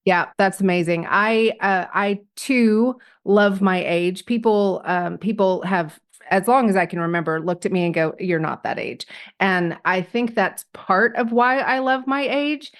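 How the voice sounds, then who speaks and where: A woman hosts her podcast in relaxed conversation, searching for words and pausing often. She laughs freely and warmly encourages her guest. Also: medium pitch. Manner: reflective, hesitant, laughs often. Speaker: podcast host. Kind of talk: casual interview chat.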